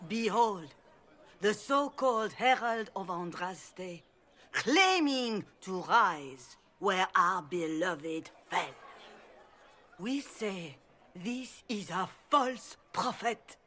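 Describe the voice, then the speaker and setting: A woman's voice: mid-range voice, lively intonation, drawn-out words, fairly bright tone, some chatter behind, heavily acted. An actress playing a stern older religious mother in a fantasy game, declaiming pompously to the public. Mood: accusing. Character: stern older Chantry mother denouncing a heretic.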